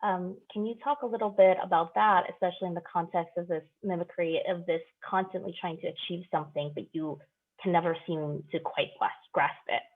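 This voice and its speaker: Low-voiced woman interviewer on tinny line. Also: fairly even intonation, brisk. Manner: careful, quotes guest before asking. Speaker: interviewer, host. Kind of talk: interview question. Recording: tinny phone-like video call audio.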